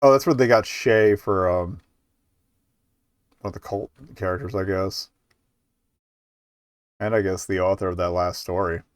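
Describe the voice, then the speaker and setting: A man's voice: sits low, steady tone, a little slow, long pauses, clear, resonant tone, no room sound. A man giving casual live game commentary as a streamer, rambling and hesitant, with muttered asides.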